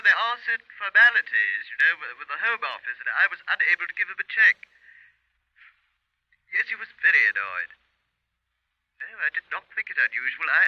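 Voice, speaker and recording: A man playing a fussy, hesitant insurance broker in a 1950s radio drama, halting and repeating himself with officious politeness. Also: high voice, no room sound. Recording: dull, dry 1950s radio recording.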